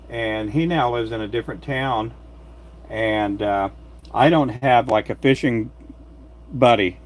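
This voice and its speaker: A man in his late fifties from Texas with a country accent, chatting casually and folksily on his livestream, with many fillers. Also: mid-range voice. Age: 50s. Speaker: fishing jig maker and channel host.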